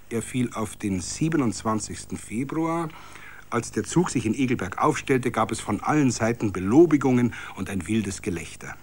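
A man's voice: medium pitch, husky. A man narrating a literary story for radio.

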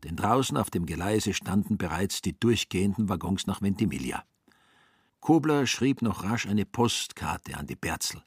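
An actor as the dryly wry, husky-voiced narrator of a satirical radio drama, reading literary prose. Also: male voice, deep voice, animated, normal speed, no echo, clean studio sound, exaggerated voice. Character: deep, husky, dry storyteller of a satirical radio play.

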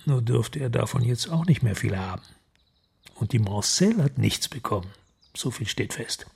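Skeptical police boss in a radio crime play, super husky, creaky, clipped remarks. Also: male voice, medium pitch, expressive, normal speed, darkish tone, heavily acted. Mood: skeptical, terse. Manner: terse, skeptical. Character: senior police chief, skeptical, terse, authoritative. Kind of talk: German crime radio drama acting.

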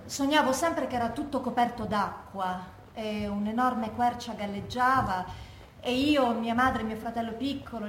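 In an echoey space with some noise, an actress plays a haunted woman telling her memories as a stage monologue. Her voice sits fairly high, and she tells it reflectively, in the first person. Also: natural voice. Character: haunted woman recalling tragic years and recurring nightmares. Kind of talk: theatrical monologue from oral testimony. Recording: echoey room with background noise.

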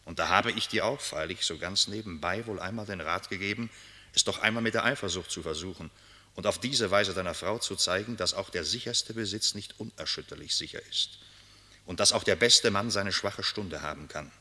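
A brooding nobleman with a deep voice and diction. He is reserved and weighs his words with a troubled conscience, in the literary manner of a radio play. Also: brisk, sharp tone. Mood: grave, reproachful reading. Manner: reads a letter aloud with muttered asides. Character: aristocratic married gentleman, brooding, reserved, conscience-pricked by a letter. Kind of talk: German literary radio drama acting.

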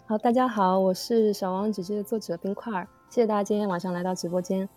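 A woman gives a casual live introduction in a mid-range voice. Her intonation stays quite flat, and faint music plays underneath. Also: medium pitch, fast. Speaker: production team member.

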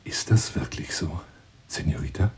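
An actor playing a pompous, lonely hunter seducing a woman in a radio drama monologue, grandiloquent and insinuating, moving between hushed and declaimed phrases. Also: sits low, normal speed, whispered, lightly acted. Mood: hushed, seductive.

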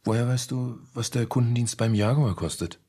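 An actor in a German radio play voicing an easily flustered, sceptical man with a slightly husky voice. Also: mid-range voice, moderate pace, dark tone. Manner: querying, stammers when challenged.

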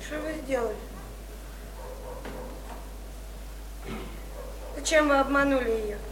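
A woman's voice: sits high, quick pace, lightly acted. An actress in a radio play voicing an earnest, reproachful woman who speaks with insistence. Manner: insistent, accusing. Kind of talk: theatrical Russian radio play acting. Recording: echoey, distant radio play with noise.

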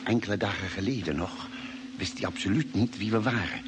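A man's voice: on the low side, a little fast, natural voice. A man acting an earnest, inquiring ship's doctor in a vintage Dutch radio play, on a noisy old recording. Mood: earnest, attentive.

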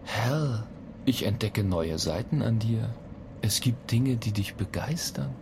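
A man's voice: lowish voice, slow pace, background noise. An actor playing an impatient, sarcastic male space traveller in a radio drama.

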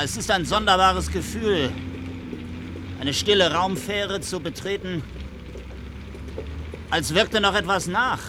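An actor playing an uneasy, sceptical officer in a German radio drama, deliberate and dismissive in manner. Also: male voice, high voice, background noise.

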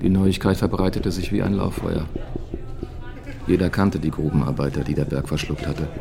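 Narrator, male: very low, gravelly, measured and matter-of-fact delivery of scripted literary prose. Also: steady tone.

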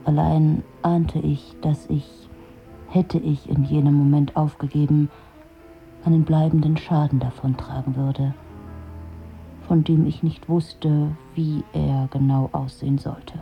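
A woman's voice: low-pitched, level tone, measured pace, gravelly, highs cut off, soft background music. An actress playing a disillusioned, sardonic middle-aged woman, delivering a dry, brooding literary monologue in a radio drama. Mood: brooding, reflective.

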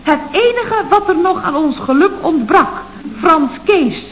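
A woman's voice: very high-pitched, lively intonation, measured pace. An actress playing a chatty, dryly witty female office secretary in a vintage radio comedy, with a theatrical, sarcastic delivery. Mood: wry. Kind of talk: 1970s radio comedy acting. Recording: muffled, noisy old radio recording.

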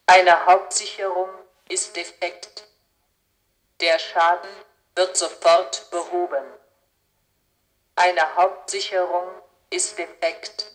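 A woman voicing an impersonal automated fault announcement in a German science-fiction radio play, mechanical and measured. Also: lowish voice, little pitch movement, low-quality recording.

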